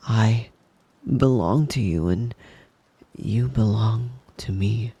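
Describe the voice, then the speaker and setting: Man voicing a possessive young wolf-boy lover, ASMR roleplay, soft mellow tone, gravelly fry, breathy whispering, doting, soothing. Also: fairly low pitch, heavily acted. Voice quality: breathy, husky, often dropping to a whisper. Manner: tender, doting, slow and lingering with pet names. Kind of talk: intimate ASMR roleplay, soothing bedtime murmur.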